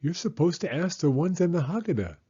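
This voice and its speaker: A man's voice: fairly high pitch, sing-song, fairly quick, warm tone, husky, dull audio, pitched above the performer's own voice, exaggerated voice. A man voicing a grumbly, indignant boy, the older brother in a storybook.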